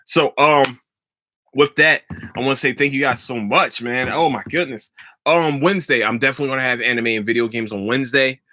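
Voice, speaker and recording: A man hosting a solo podcast, talking in a rambling, profane and excitable way and laughing often, a little stuffy from allergies. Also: mid-range voice, a little fast, no room sound. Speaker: podcast host. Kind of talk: solo casual podcast talk.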